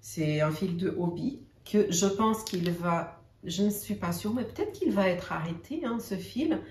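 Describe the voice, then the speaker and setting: A woman whose first language is Portuguese, chatting casually about her knitting projects with many pauses and restarts. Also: fairly low pitch, animated, darkish tone, a little hiss or hum. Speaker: knitting podcaster. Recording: echoey room, mic at a distance.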